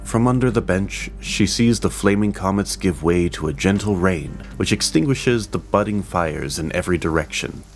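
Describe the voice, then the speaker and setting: A man as storyteller, reading scripted, descriptive narration for a fantasy audio drama in a measured manner. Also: low-pitched, animated, faint music behind. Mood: calm, descriptive. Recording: rain ambience noise behind the voice. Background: rain ambience.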